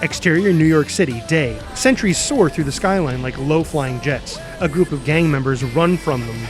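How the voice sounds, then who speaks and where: A man narrates a screenplay, reading scene headings and action with drama and spoken sound effects. His voice is a little high for a man. Music is clearly heard behind him. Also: moderate pace. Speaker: narrator reading stage directions.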